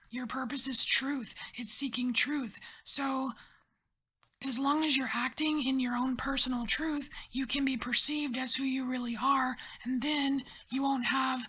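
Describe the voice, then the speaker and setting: High breathy whispering woman. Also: sharp tone. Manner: chatty, rambling, encouraging. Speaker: tarot reader. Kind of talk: whispered tarot reading.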